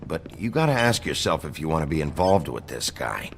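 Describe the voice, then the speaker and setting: An actor playing a gruff, weary sheriff in a video game, blunt and curt in his warnings. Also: very animated, brisk, slight background noise, full character voice. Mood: guarded, terse. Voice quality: deep gravelly voice. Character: gruff, weary male sheriff, a wolf in human guise.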